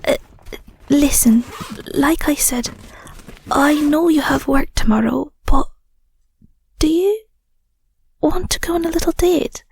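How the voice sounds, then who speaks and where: A woman voicing an affectionate, bashful young Scottish werewolf girlfriend in an intimate audio roleplay, soft, whispery and a little hesitant, close on the mic. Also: sits high, takes it slowly, long pauses. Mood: shy, hesitant. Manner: hesitant, stammering, murmuring endearments. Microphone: close microphone.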